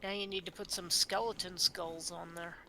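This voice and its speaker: A woman's voice: lowish voice, level tone, normal speed, sharp tone, a little hiss or hum. A woman chatting in a game stream's voice chat, playfully teasing the host and laughing easily. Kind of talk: casual voice-chat banter.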